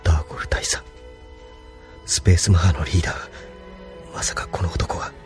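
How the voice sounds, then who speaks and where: 男性声優がアニメのオーディオドラマで、警戒心を抱えた礼儀正しい逃亡中の青年を演じている。. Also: male voice, sits low, steady tone, normal speed, over music, natural voice. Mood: wary, tense. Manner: polite, hesitant, with hushed inner monologue. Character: polite, wary young man on the run. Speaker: voice actor. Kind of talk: anime audio drama acting.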